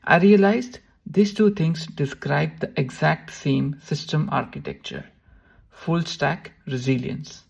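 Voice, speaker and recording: A man reading a short scripted intro to the show in an even way. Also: on the high side, takes it slowly, gravelly, husky. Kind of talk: read intro narration.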